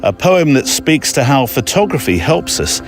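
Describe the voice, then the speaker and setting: A man's voice: on the high side, big pitch swings, normal speed, faint music behind. A man hosting a podcast in a reflective, chatty way, breaking into his own sentences as he talks or reads aloud. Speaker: podcast host. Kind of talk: conversational hosting and reading listener letters aloud.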